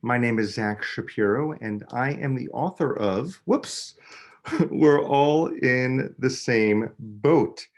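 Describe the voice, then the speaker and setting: A man, a children's book author, reading a picture book aloud with expressive, dramatic emphasis. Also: medium pitch, slow pace. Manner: expressive, dramatic delivery with emphasis on key words.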